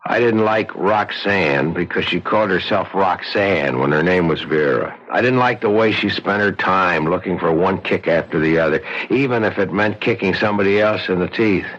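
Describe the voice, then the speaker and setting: An actor playing a skeptical, wisecracking bartender neighbor in a 1970s radio drama, casual, sardonic and streetwise. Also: male voice, sits low, normal speed, hardly any pauses, a bit bright. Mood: wry, probing. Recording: dull old radio broadcast recording.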